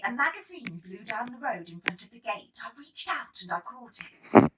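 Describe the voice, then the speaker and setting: A woman reading a children's picture book aloud in an expressive, dramatic storytelling manner. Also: a little high, very noisy. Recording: slightly distant microphone.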